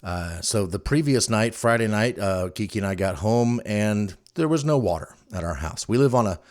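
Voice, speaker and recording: Low-voiced middle-aged man, casual podcast host. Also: expressive, normal speed, a little mellow. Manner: chatty, conversational storytelling. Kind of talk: casual solo podcast monologue.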